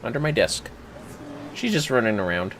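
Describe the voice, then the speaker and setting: Streamer just chatting during a game, sharp lively voice, playful and sweary. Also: mid-range voice, moderate pace, slight background noise. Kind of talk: casual livestream chat while playing a game.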